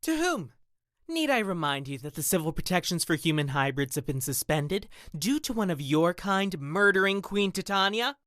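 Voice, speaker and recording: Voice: mid-range voice, sing-song, moderate pace, fairly bright tone, no room sound, studio quality. An actor playing a haughty, condescending job interviewer with a prejudice against hybrids, in an audio drama. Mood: offended, scornful. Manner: condescending, dismissive, swooping intonation.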